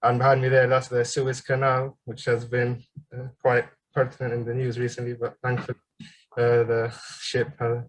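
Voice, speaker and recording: A man in his twenties from Egypt giving an online seminar presentation as a graduate student, pausing often with ums and uhs. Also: medium pitch, monotone, rough recording. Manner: hesitant, frequent ums and uhs. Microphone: video call.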